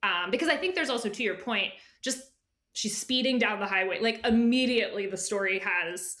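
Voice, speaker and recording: A woman's voice: medium pitch, moderate pace, bright, forceful tone. A woman speaking as an editor and guest in a casual interview, informal, often dropping into asides and imagined quotes.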